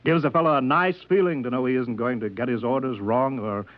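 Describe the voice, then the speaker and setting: A very tinny, phone-like old radio recording of a man acting a sly male passenger. His medium-pitched voice is affable and coaxing, slipping manipulation into every friendly remark. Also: fairly quick, close to an everyday voice. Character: smooth-talking, manipulative male passenger sowing distrust aboard.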